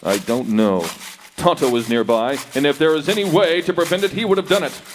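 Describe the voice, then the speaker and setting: A man's voice: fairly high pitch, a little fast, a little hiss or hum, lightly acted. An actor playing a commanding, resolute masked cowboy lawman in 1930s radio western style, speaking in urgent, emphatic orders. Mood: grave, resolute. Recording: reverberant hall, live stage performance.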